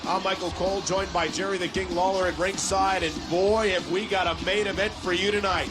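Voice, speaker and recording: An actor voicing a play-by-play wrestling commentator in a video game, with upbeat scripted announcer delivery. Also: male voice, high voice, fairly even intonation, fairly quick, over music, close to an everyday voice.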